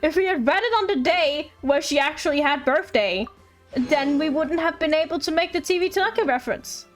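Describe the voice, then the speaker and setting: A woman's voice: high voice, fairly quick, faint music behind. A woman livestreaming a game, chatting casually and tripping over words as she reads aloud. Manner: stumbles over words while reading aloud. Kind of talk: casual livestream chat mixed with reading game text aloud.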